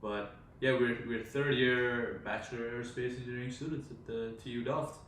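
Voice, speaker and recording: A young man from India co-hosts a relaxed podcast, chatty and quick to joke, with frequent restarts mid-sentence. His voice sits at an average pitch for a man. The sound is very reverberant and picked up from a distance, with a faint hum of noise in the background. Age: 20s. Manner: chatty, self-interrupting, joking. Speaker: podcast co-host. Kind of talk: casual chat. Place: friend's home. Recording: echoey room, distant mic, faint noise.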